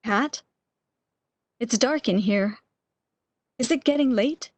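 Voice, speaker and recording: A woman's voice: fairly high pitch, takes it slowly, darkish tone, no echo. A woman playing a frail, fearful, lovesick woman, pleading emotionally in an amateur radio drama.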